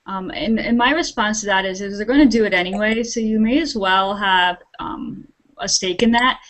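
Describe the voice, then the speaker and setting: A woman's voice: mid-range voice, normal speed, fairly bright tone, low-quality recording. A woman, a social media consultant and author, talking plainly about her guide and encouraging business owners in a casual back-and-forth. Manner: encouraging, practical, uses analogies. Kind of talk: conversational discussion.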